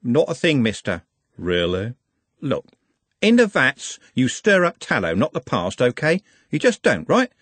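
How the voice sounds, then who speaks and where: A cocky young lad struts through this storybook scene, as a male reader puts him on. He talks high and boastful, with big swoops of pitch. A husky, dry close recording. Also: wildly swooping pitch, unhurried, fairly bright tone, dry recording, full character voice. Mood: defensive.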